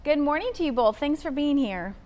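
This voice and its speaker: Woman, local TV news host, higher-pitched voice, polished delivery, live interview. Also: lively intonation, fairly quick, a crowd in the background. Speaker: TV morning show host.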